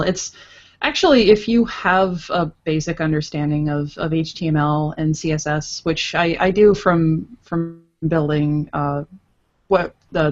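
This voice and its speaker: A woman's voice: low voice, muffled recording. A woman speaking as a podcast guest in casual chat, explaining things informally. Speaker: podcast guest, comic creator.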